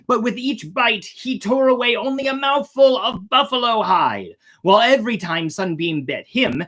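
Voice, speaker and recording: A storyteller hosting a fairytale reading in an animated style with casual asides. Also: medium pitch. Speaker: storyteller, host.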